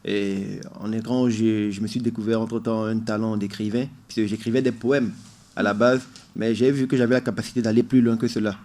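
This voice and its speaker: A man's voice: on the low side, flat delivery, fairly quick, mellow. A man being interviewed as a musician guest in a radio studio, explaining his ideas in an earnest, emphatic way.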